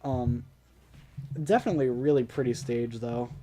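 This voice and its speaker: A man's voice: medium pitch, normal speed, mellow. A man with a stuffy, congested nose, casually commentating a game tournament, rambling with lots of ums and restarts.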